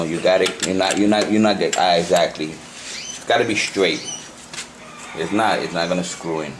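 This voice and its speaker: A man giving an unscripted hands-on tutorial, talking haltingly and repeating himself as he works through the steps. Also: low-pitched. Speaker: hobbyist presenter of a CB radio tutorial. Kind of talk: unscripted hands-on how-to demonstration. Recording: noisy amateur home video.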